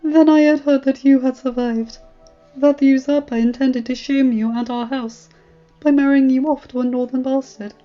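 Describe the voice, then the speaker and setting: A woman voicing a kindly, wise, very elderly male maester in a fantasy story, speaking in a courtly, measured way. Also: high voice, steady tone, lightly acted. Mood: tender. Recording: muffled audio with music.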